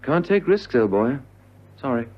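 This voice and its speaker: An actor playing a suave, cold-blooded villain in a spy radio drama, speaking with smooth, mock-regretful menace. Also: male voice, medium pitch, big pitch swings, unhurried, very noisy. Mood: coolly menacing. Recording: muffled old radio drama recording.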